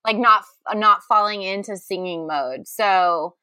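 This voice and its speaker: A woman co-hosting a comedy podcast in casual chat, animated, giggly and self-deprecating. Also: mid-range voice, unhurried, no echo. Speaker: podcast co-host.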